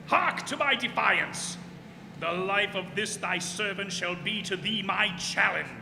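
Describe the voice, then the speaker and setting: A man's voice: high-pitched, unhurried, creaky. A man playing a proud, mad, tyrannical sea captain who defies heaven in grand archaic declamation, in old-time radio drama style. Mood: menacing. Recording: hall reverb, faint background noise.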